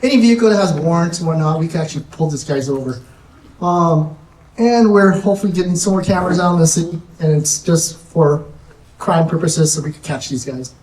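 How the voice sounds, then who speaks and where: A woman, a sheriff's deputy, giving a community safety presentation in a soft-spoken, nervous, chatty way. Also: fairly low pitch, brisk. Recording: echoey room, distant mic, faint noise.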